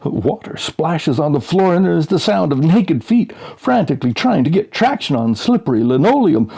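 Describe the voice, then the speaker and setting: A man reading a humorous story aloud as scripted narration, deadpan and suspenseful, with dramatic pauses. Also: fairly high pitch, gravelly, breathy edge. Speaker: audiobook reader.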